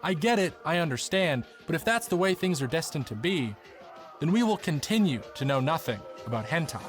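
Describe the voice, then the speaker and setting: A man's voice: on the high side, big pitch swings, a little fast. A man delivering scripted narration for a documentary-style video essay. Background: background music.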